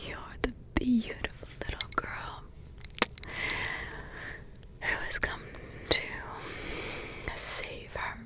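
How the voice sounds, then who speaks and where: Lady doing an ancient witch mom, all whispers, slow and teasing, asmr roleplay. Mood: curious, tender. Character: centuries-old female witch, a vampire's teasing mother.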